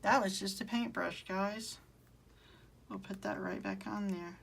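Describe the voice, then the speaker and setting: A woman's voice: mid-range voice, steady tone, unhurried, vocal fry, breathy edge. A woman with a Southern American accent, chatting with viewers during a live craft tutorial and answering their comments as she works. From southern United States. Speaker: craft demonstrator.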